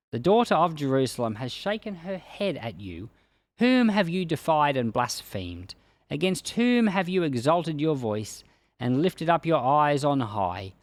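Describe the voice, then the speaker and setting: Expressive man reading scripture with wide-ranging pitch. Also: no room sound. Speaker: pastor reading a Bible chapter aloud for a podcast.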